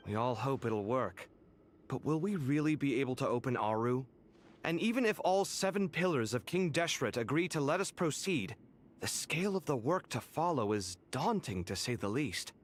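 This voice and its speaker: An actor voicing a dramatic young architect in a video game, fretful yet proud. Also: male voice, mid-range voice, expressive, normal speed, natural voice. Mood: anxious.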